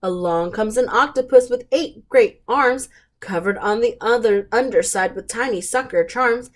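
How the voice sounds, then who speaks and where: A woman's voice: fairly high pitch, moderate pace. A woman reading picture books aloud to young children, drawing out words expressively and putting questions to her listeners. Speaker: children's storytime reader.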